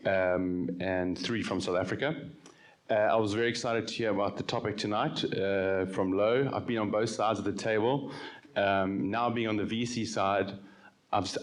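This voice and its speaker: Male VC panelist, lowish voice, relaxed conversational delivery. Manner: relaxed, conversational, frequent ums. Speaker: venture capital managing director, panelist. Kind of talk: panel discussion.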